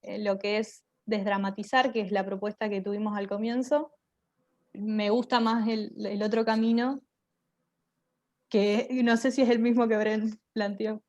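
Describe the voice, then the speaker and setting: A woman's voice: medium pitch, level tone, a little slow, darkish tone, highs cut off. A young woman with an Argentine accent speaks conversationally in a panel interview. She has a mid-range voice and explains her work in a reflective, enthusiastic manner. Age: young adult. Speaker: radio theatre performer and drama teacher.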